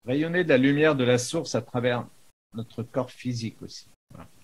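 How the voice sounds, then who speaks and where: A man giving an unscripted spiritual talk as a teacher, in a halting manner with frequent pauses in the middle of phrases. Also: mid-range voice, husky. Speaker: spiritual teacher, video maker.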